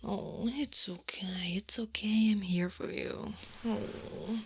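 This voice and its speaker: A woman playing a knowing female Krampus monster in an intimate ASMR roleplay, firm yet tenderly comforting, in a soft voice that often drops to a whisper. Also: mid-range voice, steady tone, slight background noise. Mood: tender, soothing. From Italy. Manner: slow, gentle, deliberate coaxing. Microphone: close-up microphone.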